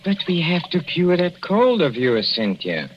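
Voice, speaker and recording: A sly, cooing murderous husband. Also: male voice, fairly high pitch, very animated, a little slow. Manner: soft and wheedling, chuckling, quietly menacing. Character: sly, cooing older husband poisoning his wife. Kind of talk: 1940s radio drama acting. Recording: dull lo-fi 1940s radio broadcast with faint hiss.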